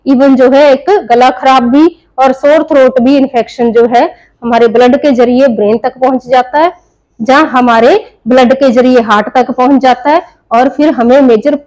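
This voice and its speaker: A woman's voice: high-pitched, a little slow, darkish tone, poor audio. A woman teaching a nursing class, explaining step by step and answering her own questions. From India. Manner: explains in Hindi mixed with English terms, asks and answers own questions. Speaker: nursing tutor. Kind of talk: explanatory lecture.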